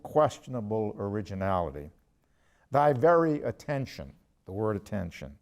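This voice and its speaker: An older man, a professor, lecturing from a prepared text in a deliberate, measured way with emphatic pauses, quotations and asides. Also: medium pitch, a little mellow. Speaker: ethics professor giving a lecture.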